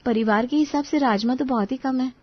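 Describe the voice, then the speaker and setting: A woman playing a polite but exasperated young daughter-in-law in a dramatized story, expressive in the way she speaks. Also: sits high, brisk, no echo, dull audio. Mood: polite, cheerful. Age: young adult.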